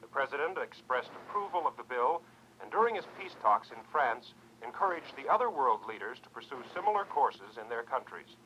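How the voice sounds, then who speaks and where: An actor playing an authoritative television newscaster reading a bulletin in a radio drama. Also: male voice, medium pitch, sing-song, brisk, bright, forceful tone. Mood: formal, brisk. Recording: muffled broadcast sound, faint noise.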